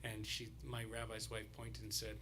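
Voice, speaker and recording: A man speaking as a rabbi, telling a loose, rambling story during a service with many pauses and restarts. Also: on the low side, completely flat delivery, very noisy.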